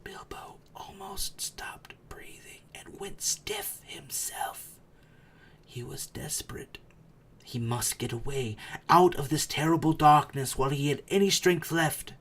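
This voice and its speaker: A man's voice: a little high, sing-song, a little slow, fairly bright tone, in a whisper. A man reading a storybook aloud as a dramatic narrator, with theatrical, suspenseful delivery. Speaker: audiobook reader.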